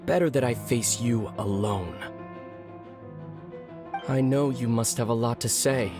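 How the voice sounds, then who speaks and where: A proud otherworldly villain acted by a man in a video game. The voice is mid-pitched, formal and deliberate, with theatrical menace. Music is clearly heard behind it. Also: lightly acted. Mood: challenging.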